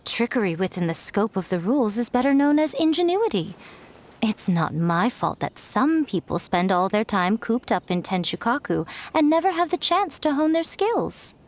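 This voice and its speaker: Sly female trickster for anime game: highish, very expressive, smug, teasing, self-assured. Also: normal speed. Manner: smug, playful, mocking.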